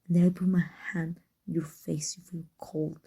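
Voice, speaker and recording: Breathy, husky villainess with a Mexican accent, acted by a woman. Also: lowish voice, little pitch movement, normal speed, dark tone. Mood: hushed, pained confession. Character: proud, flustered, secretly devoted villainess with life-transfer power. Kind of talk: intimate ASMR roleplay acting.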